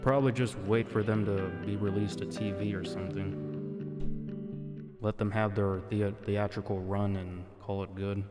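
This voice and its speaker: A man's voice: lowish voice, little pitch movement, moderate pace. A man chatting casually as the host of an ASMR roleplay livestream, his voice in a long reverb. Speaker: streamer hosting an ASMR roleplay spa. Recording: long reverb, music behind the voice.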